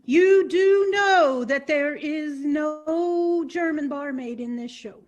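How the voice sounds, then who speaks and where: A woman's voice: sits high, slow pace, lo-fi sound. A woman playing an exasperated, bossy leader of an amateur theater production in a web comedy, with an emphatic, scolding manner. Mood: stern, exasperated. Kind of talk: comedic web series acting.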